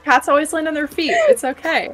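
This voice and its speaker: High-pitched, playful woman at game table. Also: brisk, a bit bright. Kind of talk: casual game chat. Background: background music.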